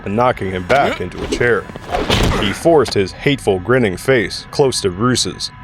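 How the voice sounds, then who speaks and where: A man's voice: lowish voice, animated, normal speed, music in the background. A man serving as narrator, reading scripted dramatic story narration.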